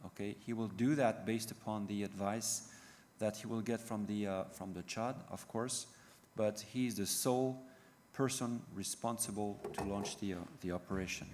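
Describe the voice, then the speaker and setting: Middle-aged French-accented man, lowish voice, hesitant conference talk in a reverberant hall. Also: steady tone, a little mellow. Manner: halting, many fillers and restarts. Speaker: panel speaker. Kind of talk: conference presentation. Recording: reverberant hall with faint noise.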